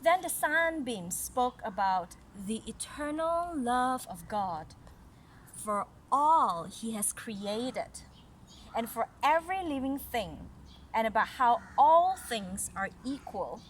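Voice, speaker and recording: A woman reading a fairy tale aloud to children in an instructive way, pausing for chatty comments and questions. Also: on the high side, big pitch swings. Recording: noisy home recording.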